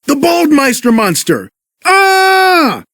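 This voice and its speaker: A man voicing a goofy, excitable, easily scared tow truck in a children's read-along, folksy and exclaiming. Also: extremely high pitch, slow, no room sound, studio quality, pitched above the performer's own voice, exaggerated voice. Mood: panicked.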